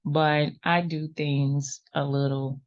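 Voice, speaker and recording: A woman's voice: low-pitched, flat delivery, slow, lo-fi sound. A woman hosting a crochet tutorial, talking patiently and reassuringly, counting stitches aloud, a little sniffly from a runny nose. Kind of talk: step-by-step crafting instruction.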